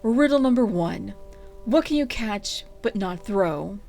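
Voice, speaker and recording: A woman's voice: mid-range voice, lively intonation, measured pace, gravelly, dark tone, soft background music. A woman voicing a sly, eccentric old male hermit in a story reading, emphatic and gleefully teasing.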